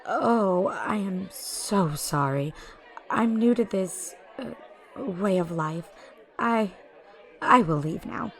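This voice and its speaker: Courteous, naive fey wanderer acted by a woman, unhurried and hesitant, mid pitch, fantasy audio drama. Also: lively intonation, a faint crowd. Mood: embarrassed, apologetic. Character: gentle, polite fey wanderer woman, youthful-seeming, naive.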